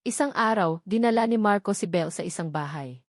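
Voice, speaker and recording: Give a woman narrator telling a romantic drama from a script. Her pitch sits in the middle range for a woman. The recording is very dry, with hardly any room sound. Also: animated, brisk, no room sound. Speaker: story narrator.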